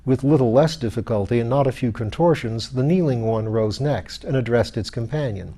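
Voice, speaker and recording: A man's voice: medium pitch, normal speed, dark tone. A man reading literary fiction aloud as an audiobook narrator. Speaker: audiobook reader.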